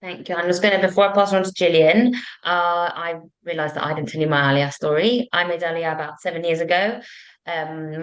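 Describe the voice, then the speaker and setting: A woman's voice: lowish voice, a bit bright, poor audio. A woman hosting an online panel in an informal, conversational way. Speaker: webinar host.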